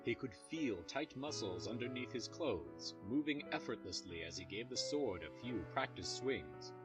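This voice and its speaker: A man's voice: medium pitch, lively intonation, moderate pace, over music. A man reading scripted third-person fantasy narration as the narrator of an audio drama.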